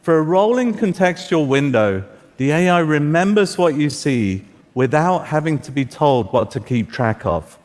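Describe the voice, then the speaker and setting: A man whose first language is Farsi presents a live tech demo on stage, relaxed and joking, with some filler words. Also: fairly high pitch, normal speed. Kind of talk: live product demo talk. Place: stage of a large auditorium. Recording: echoey hall reverb.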